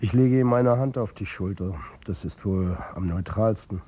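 Husky, low-voiced confiding football coach. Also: male voice, normal speed, warm tone. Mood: reflective. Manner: confiding, colloquial. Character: world-weary, candid male football coach telling his story. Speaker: narrator and lead character. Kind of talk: intimate first-person radio drama narration.

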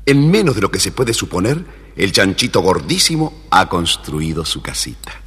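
A man narrating a scripted children's tale with an expressive, deliberate storyteller delivery. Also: sits low, husky, soft background music. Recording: reverberant, faintly noisy old story record.

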